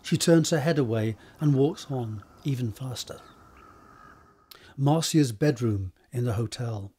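A man's voice: mid-range voice, a little slow, mellow, husky. A man narrating stage directions for an audio drama in a scripted reading style.

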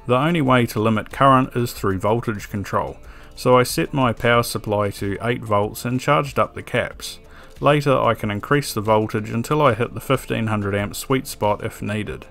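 A man giving a calm, methodical scripted voice-over for an electronics build tutorial, with a dry sense of humor. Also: mid-range voice, normal speed, gravelly. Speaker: electronics DIY video maker. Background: soft background music.

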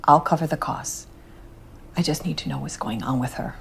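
A woman playing a strict, exasperated mother of teenagers who scolds dramatically, her voice climbing high when she is upset. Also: normal speed, creaky, slight background noise. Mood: scheming. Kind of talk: comedy sketch acting.

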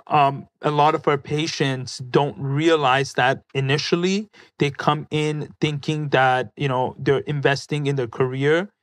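A man's voice: on the high side, no room sound. A man, a dentist guest on a podcast, explaining his work in an enthusiastic, salesy manner in casual chat. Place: colorful studio set. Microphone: studio mic on boom arm, headphones.

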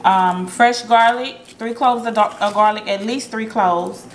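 A woman hosting a relaxed cooking tutorial in her home kitchen, chatting to her viewers with plenty of ums as she works. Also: medium pitch, a little slow, a little hiss or hum. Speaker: cooking vlogger.

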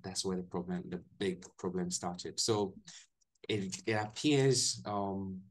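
A young man with a Ghanaian accent, a guest telling his story in a relaxed way on a video call from a small room, often restarting his sentences. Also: low-pitched, slow. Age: young adult. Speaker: guest, English teacher. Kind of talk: casual video-call interview.

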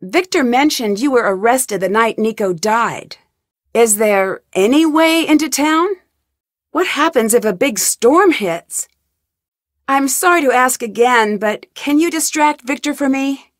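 A young woman detective in a game, polite and curious, presses people with earnest questions. Her voice sits a little high. The studio recording is dry and close, with no room sound. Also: lively intonation, unhurried, no echo. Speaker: voice actor. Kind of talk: game voice lines. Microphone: studio mic, dry.

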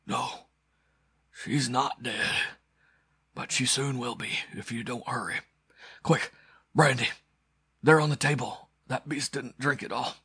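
A man voicing a dying, bitterly proud male aristocrat villain in a pulp audiobook, with a strained, gasping croak that drops into breathy whispers. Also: mid-range voice, fairly even intonation, bright, forceful tone, voice pushed up, full character voice. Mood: strained, urgent. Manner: halting, strained, melodramatic. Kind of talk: audiobook character acting of pulp story.

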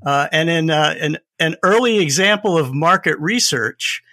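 A man's voice: a little high, normal speed, pushed and bright, dry recording, clean studio sound. A man talking casually as a guest in a podcast conversation.

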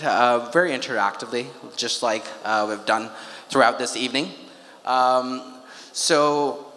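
A man's voice: mid-range voice, pushed and bright. A man hosting a charity fundraiser, addressing the audience informally through a handheld microphone and putting questions to them. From Pakistan (Karachi). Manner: conversational, engaging the audience with questions. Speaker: charity event host and fundraiser. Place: large auditorium hall on stage. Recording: echoey hall PA, long reverb.

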